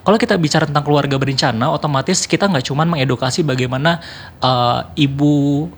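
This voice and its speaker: A man's voice: a little high, fast talker, husky. A man, giving explanatory, point-by-point answers as a radio interview guest. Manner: explanatory, orderly, enumerating points. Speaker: youth organization board member, radio guest.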